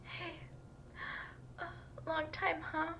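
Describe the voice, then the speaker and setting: Very high, tearful woman on the phone, acted. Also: flat delivery, gravelly, faint music behind, exaggerated voice. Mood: sad, tearful. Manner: halting, hesitant. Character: hesitant, grieving ex-girlfriend making a painful phone call. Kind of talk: audio roleplay acting. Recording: dull, lo-fi phone call, faint noise.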